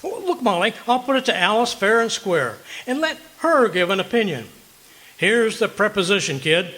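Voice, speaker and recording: A man's voice: high-pitched, lively intonation, moderate pace, bright, forceful tone, rough recording. A man playing a blustery, stubborn, penny-pinching husband in an old-time radio comedy, with indignant, folksy exaggeration. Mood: eager, scheming. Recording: live stage performance with background noise.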